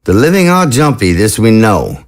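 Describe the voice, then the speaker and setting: An actor playing a trusting, idealistic zombie president in an audio drama, speaking in a formal, oratorical manner. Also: male voice, on the low side, big pitch swings, normal speed. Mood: relieved.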